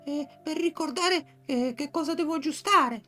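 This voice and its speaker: Lady putting on a squeaky panicky little squirrel guy, stutters a lot, super high and jumpy, with soft music behind. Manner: stammering, flustered, quick and high. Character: nervous jittery young male squirrel. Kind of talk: storybook character voice.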